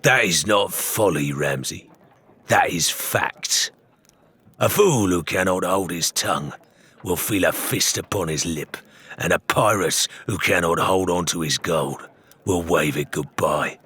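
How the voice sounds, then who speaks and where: A man's voice: deep voice, measured pace, pushed and bright, husky, full character voice. A man voicing a haughty, scornful pirate who sneers at a rival in an audiobook. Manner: sneering, declamatory. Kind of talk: audiobook character voice.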